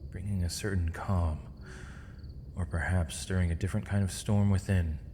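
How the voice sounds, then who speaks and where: Casting: male actor for misunderstood villain mage in chains, low mellow voice, gentle and eloquent, intimate ASMR roleplay in a reverberant space. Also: steady tone, slight background noise, close to an everyday voice. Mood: tender, soft. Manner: measured, formal, poetic phrasing with thoughtful pauses. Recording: long reverb, faint night insect ambience.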